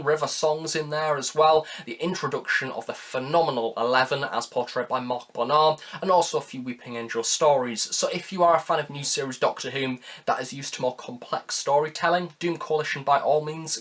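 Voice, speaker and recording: A man's voice: mid-range voice, fairly bright tone. A man giving an informal review commentary, earnest and wordy in the way he talks. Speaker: video reviewer.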